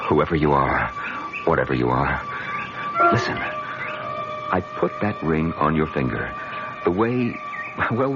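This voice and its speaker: An actor playing a charming, flustered bachelor with a hesitant, stammering delivery in a radio drama. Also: male voice, very deep voice, exaggerated voice. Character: flustered, charming bachelor man trying to propose marriage. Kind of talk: old-time radio drama acting. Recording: muffled old radio drama, loud music.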